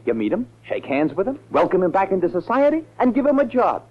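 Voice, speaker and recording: An actor playing an eager, scheming campaign manager in a 1940s radio drama, with an American accent and an excitable, emphatic sales pitch. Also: male voice, heavily acted. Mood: excited, pitching. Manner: excitable, fast-selling, emphatic. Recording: muffled 1940s radio recording.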